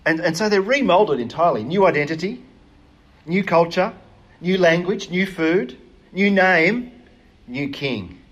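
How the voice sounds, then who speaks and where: A man's voice: a little high, a bit bright. Earnest man preaching a sermon. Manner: earnest, emphatic, with frequent hesitations.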